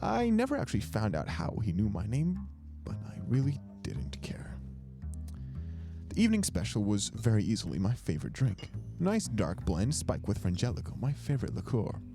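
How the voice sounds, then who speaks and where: A man's voice: lowish voice, very animated, moderate pace, gravelly. A man narrating first-person fiction from a script in a wry, world-weary way, as an amateur audiobook reader. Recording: music behind the narration. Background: instrumental music.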